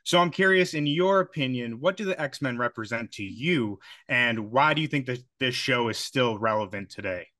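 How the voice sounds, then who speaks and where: A man's voice: medium pitch, expressive, normal speed, hardly any pauses, pushed and bright, no room sound, studio quality. A young man asking chatty, enthusiastic questions as interviewer in a casual video call. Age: young adult.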